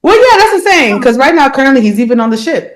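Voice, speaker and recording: A woman's voice: high voice, fast. A woman co-hosting a podcast, chatting casually with a playful, laughing manner. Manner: laughs often, animated and playful. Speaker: podcast co-host. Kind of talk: casual chat.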